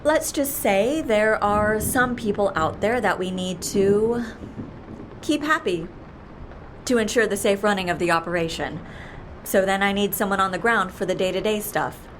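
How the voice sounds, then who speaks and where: A woman playing a brisk, persuasive and wry boss of a shady outfit in an audio drama. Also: mid-range voice, slight background noise, close to an everyday voice. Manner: persuasive, wry, matter-of-fact. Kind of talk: audio fiction drama acting.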